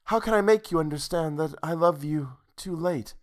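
A male reader performs a young ghost woman from a romantic storybook. She is tender and mournful, speaking high and hushed. Her words come haltingly, as a gentle plea to the one she loves. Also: lightly acted. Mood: gentle, pleading.

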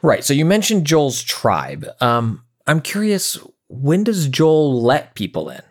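A man hosting a podcast, giving measured commentary on a story and leading into interview questions. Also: on the high side, huge pitch swings. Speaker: podcast host.

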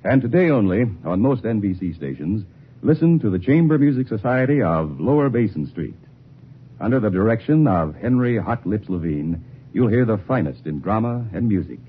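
A male network announcer reading scripted promotions in a lively, persuasive way. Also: on the low side, moderate pace. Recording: tinny old radio broadcast with hiss.

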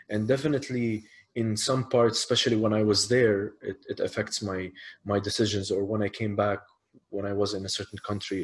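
A male host in a casual talk, speaking in a measured, diplomatic way and reading out listener questions. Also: fairly low pitch. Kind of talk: casual conversational interview.

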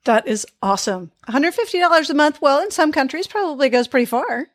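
A woman's voice: high-pitched, animated, brisk, no room sound. Enthusiastic American-born woman hosting an interview. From United States. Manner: enthusiastic, affirming, long rambling questions. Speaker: interview host. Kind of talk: casual interview conversation.

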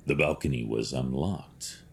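An actor playing a grim, stoic masked vigilante detective in an audio drama, speaking in clipped, formal, deadpan phrases. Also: male voice, very deep voice, a little slow, gravelly, full character voice. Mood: commanding, calm.

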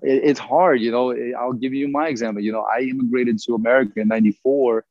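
A man's voice: mid-range voice, steady tone, fast talker, dry recording, dull audio. A middle-aged man from Ukraine, a native Russian speaker, talking earnestly as an interview guest and rambling with lots of "you know" fillers. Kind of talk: casual interview conversation.

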